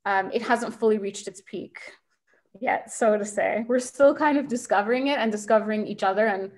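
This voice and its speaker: A woman in her thirties talks on a video call from a home kitchen. She speaks quickly and reflectively, pausing often with fillers between her ideas. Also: mid-range voice, a little mellow. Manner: quick, thoughtful, with many fillers. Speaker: invited speaker.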